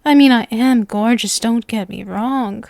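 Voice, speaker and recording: A woman's voice: on the high side, unhurried, creaky, a little mellow, no echo, studio quality. A woman playing a sweet, possessive, lovestruck young witch in an ASMR roleplay, coy and teasing as she addresses the listener. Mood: sheepish, boastful. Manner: coy, teasing, sugary with menacing devotion.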